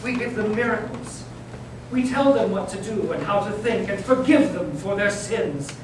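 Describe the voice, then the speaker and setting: An old, bitter inquisitor cardinal, acted by a man in staged readers theater. His high voice declaims imperiously, swelling from hush to force. It carries from a distance through a very echoey, noisy hall. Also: expressive, normal speed. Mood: fervent, bitter.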